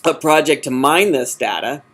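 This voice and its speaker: A man answering as a job candidate in an informal interview, halting and often correcting himself. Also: fairly high pitch, expressive, a bit bright. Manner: halting, hesitant, self-correcting. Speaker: job candidate in user experience design.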